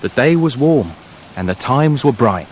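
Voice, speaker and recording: An actor playing a fairy-tale storyteller, giving deliberate, poetic storybook narration in a radio play. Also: male voice, mid-range voice, lively intonation, a little mellow, slight background noise, close to an everyday voice. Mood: poetic, measured.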